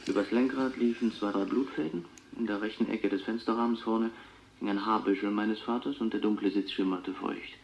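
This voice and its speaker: An actor playing a clairvoyant who recalls his childhood in a measured, reflective way on a taped radio drama interview. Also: on the low side, creaky. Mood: somber, haunted. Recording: dull tape recording with faint noise.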